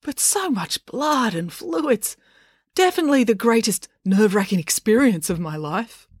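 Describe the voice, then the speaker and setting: A woman's voice: fairly high pitch, expressive, moderate pace, breathy edge, no room sound, studio quality, pitched above the performer's own voice. A woman voicing an awkward, flustered middle-aged dad in a children's audiobook, honest and rambling. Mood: nervous. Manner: hesitant, earnest, rambling.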